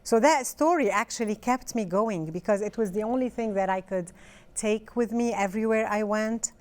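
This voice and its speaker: Soft-spoken middle-aged woman from Lebanon. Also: mid-range voice, normal speed. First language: French. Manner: hesitant, restarts often, fillers. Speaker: author, interview guest. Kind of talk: casual interview chat.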